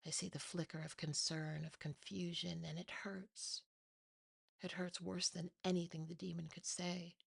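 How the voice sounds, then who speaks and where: A woman's voice: lowish voice, little pitch movement, normal speed, creaky, breathy edge, no echo. A woman narrating a scripted first-person horror story in ASMR style, close to the mic. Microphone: close, dry mic.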